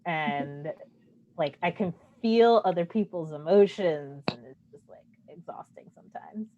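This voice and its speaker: Low-pitched woman in casual chat. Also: a little slow, warm tone, highs cut off, slight background noise. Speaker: cast member in a play.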